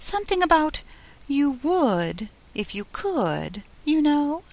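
A woman's voice: high voice, very animated, slow pace, darkish tone, voice pushed up, exaggerated voice. A woman voicing a tiny, timid, unhappy gnat with a small, confiding voice in a storybook reading. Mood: teasing, wistful. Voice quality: extremely small voice.

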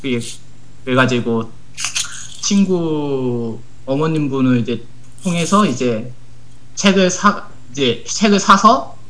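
A teenage male high school student speaking as a guest in a casual talk, explaining things earnestly step by step. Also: expressive, takes it slowly, a bit bright, poor audio. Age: teens, high school student. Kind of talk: casual talk show conversation, recounting an anecdote.

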